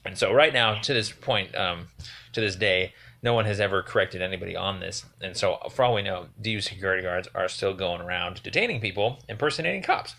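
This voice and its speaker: Gravelly low-voiced man explaining a complaint. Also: pushed and bright. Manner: rambling, earnest, halting explanation. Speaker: caller making a complaint. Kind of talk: phone conversation, explanatory account.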